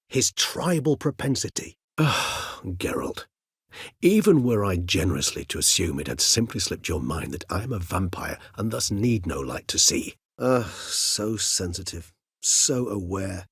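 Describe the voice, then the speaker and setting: An actor playing an urbane, erudite ancient male vampire in a video game, speaking in eloquent, formal, precise phrases with a wryly measured manner. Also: on the low side, sing-song, husky, dry recording, studio quality, exaggerated voice. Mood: scholarly, measured, then wry, dry. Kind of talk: video game character acting.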